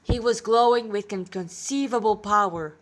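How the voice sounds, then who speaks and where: A woman's voice: mid-range voice, moderate pace, low-quality recording. A woman with a congested nose reading a fantasy story aloud as an amateur narrator.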